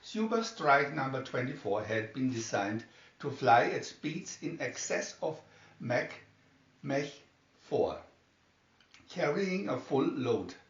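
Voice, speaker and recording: A man's voice: medium pitch, big pitch swings, slow. A man narrating a scripted adventure story, reading it aloud as a storybook narrator. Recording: roomy, distant, slightly dull home recording.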